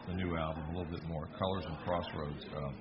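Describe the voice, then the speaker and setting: A man's voice: low voice, steady tone, fast, highs cut off, a crowd in the background. A man hosting a radio interview, enthusiastic and stumbling as he repeats his words. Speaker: radio host.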